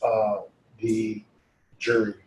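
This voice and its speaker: A man's voice: lowish voice, sing-song, very slow, gravelly, a little mellow, a little hiss or hum. A man in a law class answering questions hesitantly, with many fillers. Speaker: law student. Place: lecture classroom. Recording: echoey classroom with chatter.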